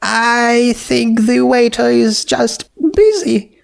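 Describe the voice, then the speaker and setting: A man's voice: extremely high pitch, flat delivery, slow, creaky, pitched above the performer's own voice, full character voice. A man voicing a sceptical young female pony princess who speaks hesitantly and with awkward politeness. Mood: awkward, puzzled.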